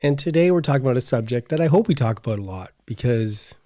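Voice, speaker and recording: A man's voice: mid-range voice, animated, quick pace, warm tone, no room sound, clean studio sound. A middle-aged man chatting casually as a podcast co-host. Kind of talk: casual conversational chat.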